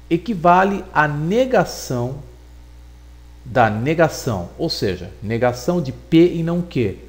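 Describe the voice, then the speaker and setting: A man giving a recorded logic lesson, explaining deliberately and repeating points for emphasis. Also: medium pitch. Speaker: teacher.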